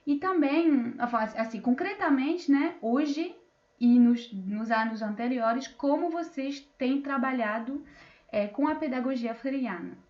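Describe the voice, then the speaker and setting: A woman's voice: high voice, a little slow, highs cut off. A woman moderating an online panel, introducing guests with many fillers and restarts, over a video call. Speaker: debate moderator.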